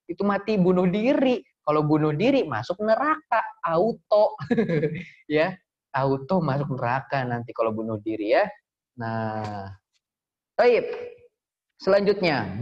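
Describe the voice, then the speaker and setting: A man's voice: high voice, darkish tone. A man telling religious stories to children in a small prayer room, playful, quizzing the kids and laughing often. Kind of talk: lively storytelling lesson for children. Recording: dull lo-fi recording.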